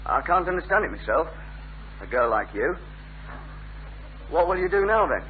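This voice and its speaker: Shy lad, muffled old recording. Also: male voice, a little high, big pitch swings, normal speed. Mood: awkward, earnest. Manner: hesitant, stammering when pressed. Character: shy, awkward, reluctant young northern lad. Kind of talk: 1970s British radio sitcom acting. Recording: muffled phone-like old radio recording, faint hum.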